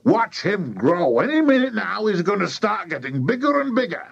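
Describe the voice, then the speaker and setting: Man acting an excitable, bossy farmer dad. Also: mid-range voice, takes it slowly, husky, highs cut off, pitched above the performer's own voice, exaggerated voice. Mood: excited. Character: excitable, bossy farmer father, loud and eager. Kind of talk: children's audiobook character voice.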